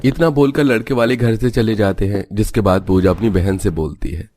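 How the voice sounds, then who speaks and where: A man's voice: on the low side, moderate pace, darkish tone. A man narrator reading a scripted family story for a cartoon video.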